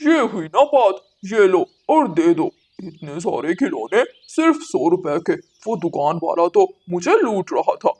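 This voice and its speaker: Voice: mid-range voice, big pitch swings, measured pace, few pauses, a little mellow, slightly rough. A performer voicing a stingy, bossy male crow, a husband and father, in a moral-story cartoon, with a curt manner. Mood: pleased.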